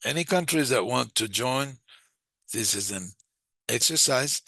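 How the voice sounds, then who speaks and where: A man giving a live interpretation of a webinar discussion, speaking in halting, phrase-by-phrase chunks. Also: mid-range voice, animated, vocal fry, pushed and bright, dry recording. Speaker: simultaneous interpreter.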